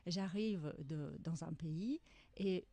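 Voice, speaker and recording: Mellow-voiced Czech woman, reflective author. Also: lowish voice, slow pace, no echo. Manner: reflective, searching for words. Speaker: author guest. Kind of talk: radio interview.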